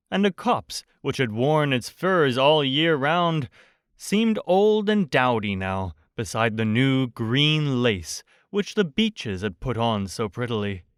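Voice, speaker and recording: A man narrating a bedtime storybook as an audiobook reader, in a measured, expressive storytelling manner. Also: mid-range voice, clean tone, no room sound, clean studio sound.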